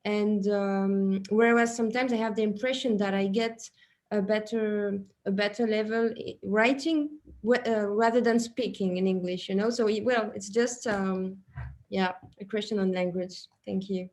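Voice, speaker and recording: A woman's voice: mid-range voice, steady tone, moderate pace, a little mellow. A young woman with a French accent asking a question hesitantly on a video call, with many fillers and restarts. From France. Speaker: early-career researcher asking a question. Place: small office with shelves, indoors.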